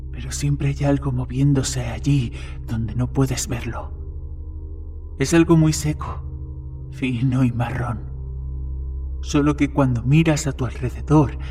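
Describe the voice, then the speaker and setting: A man acts out a serious young man in a horror tale. He talks slowly and earnestly, with an ominous manner over soft music. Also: medium pitch, lightly acted. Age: young adult. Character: serious, brooding bearded young man telling eerie legends.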